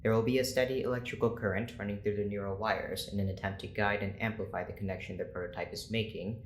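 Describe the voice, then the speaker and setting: A man's voice: lowish voice, fairly even intonation, quick pace, lightly acted. Man playing a stern, weary scientist in an echoey room. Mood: clinical, controlled. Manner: clipped, formal, exasperated. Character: stern, weary male scientist running an experiment. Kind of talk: audio drama acting. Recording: echoey room with faint noise.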